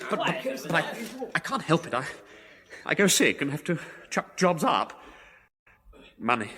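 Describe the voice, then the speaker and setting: Posh english war veteran, bitter, stammery, voice up high and swinging about, echoey hall. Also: male voice, sing-song, a little slow, bright, forceful tone, slightly rough, heavily acted. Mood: bitter, despondent. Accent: upper-class English. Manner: stammering, self-pitying. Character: bitter, nerve-shattered ex-soldier, upper-class Englishman. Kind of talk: radio drama acting. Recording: reverberant hall-like room.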